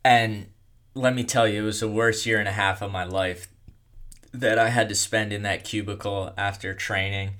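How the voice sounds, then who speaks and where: A young man telling his own story in a casual, rambling way, with a monotone delivery and lots of fillers. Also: fairly low pitch, moderate pace. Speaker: content creator.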